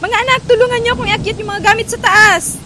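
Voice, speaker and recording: Lady acting an anxious mom, high pitched, radio play, noisy background. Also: steady tone, fast. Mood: alarmed. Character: protective, anxious mother of a family.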